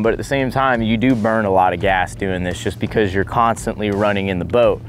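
A man in his thirties, a fishing vlogger, talking casually and excitedly on camera from a small boat on the open ocean, recorded on a boat-mounted camera. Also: medium pitch. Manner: excited, breaks into whoops and laughs while fighting fish.

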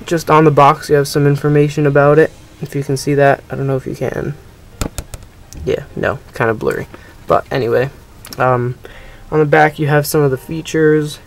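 A man's voice: on the high side, flat delivery, normal speed, creaky. A young man casually narrating a gadget unboxing, rambling and hesitant with many ums. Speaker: unboxing video host. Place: indoors at a table.

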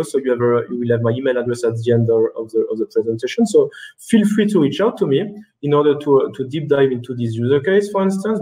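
A man in his thirties giving a business presentation over a video call and answering questions, speaking haltingly with frequent restarts and fillers. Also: medium pitch, warm tone, low-quality recording. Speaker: keynote speaker. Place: indoor room.

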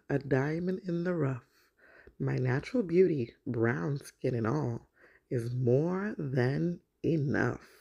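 A woman, around her thirties, speaking as the host of a children's story time, in an expressive storytelling manner. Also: extremely low pitch, vocal fry, slightly rough. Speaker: host of a children's read-aloud show. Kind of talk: children's story time, picture book read aloud.

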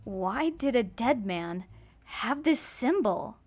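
A woman's voice: on the high side, very animated, takes it slowly, a little mellow, soft background music, heavily acted. A woman voicing an agitated young woman who swings between confusion and anger, in a fan dub of a comic. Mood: uneasy. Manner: anxious, indignant, wavering.

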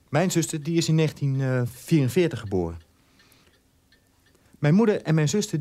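An actor playing a bitter, neglected German son in a radio drama, in a halting, conversational monologue. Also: male voice, fairly high pitch, mellow. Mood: bitter, hurt. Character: bitter German son born 1960, neglected by his father.

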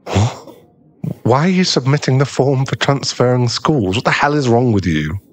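A man playing a bitter, heartbroken young school bully in an audio roleplay, scornful and aggrieved, with a gruff protective streak. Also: mid-range voice, expressive, normal speed, close to an everyday voice. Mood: scornful, incredulous. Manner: aggrieved, swearing, scornful, dropping into breathy muttering. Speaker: ASMR roleplay voice actor. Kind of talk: ASMR boyfriend audio roleplay.

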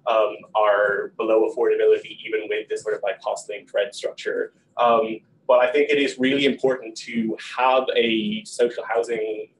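A young man on a panel with a low, rather flat voice. He talks quickly and fills his sentences with ums and likes. A faint hiss from a camera across a small meeting room sits under him. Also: poor audio. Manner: quick, animated gesturing, many ums and likes. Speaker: panel guest. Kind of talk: panel discussion.

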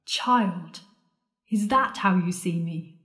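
A sardonic, frightened confessor, echoey. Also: female voice, fairly low pitch, slow pace, fairly bright tone. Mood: angry, sarcastic. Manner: babbling, wry, joking nervously. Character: sardonic, frightened woman confessing at world's end. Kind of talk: audio drama acting. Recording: echoey room.

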